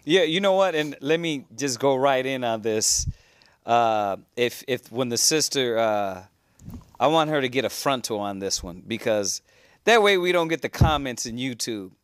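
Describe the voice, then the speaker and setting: A man's voice: medium pitch, animated, moderate pace, clean tone, no echo. A man teaching a religious class on a cable show, earnest and repetitive in his preaching.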